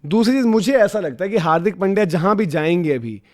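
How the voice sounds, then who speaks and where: A man talking as host of a casual cricket debate show, in colloquial, animated speech mixed with English words. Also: high voice, quick pace. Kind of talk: casual sports debate chat.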